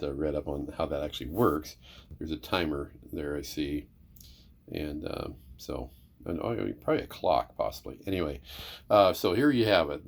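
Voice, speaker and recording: A man's voice: very deep voice, darkish tone. A man giving a casual, unscripted demonstration as a presenter, in an easygoing, somewhat hesitant way. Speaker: presenter demonstrating a vintage radio receiver.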